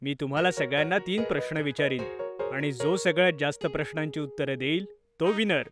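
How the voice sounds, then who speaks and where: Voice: medium pitch, brisk, voice pushed down, close to an everyday voice. A narrator voicing a genial father who plays quizmaster for children in a cartoon story. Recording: music playing behind the voice.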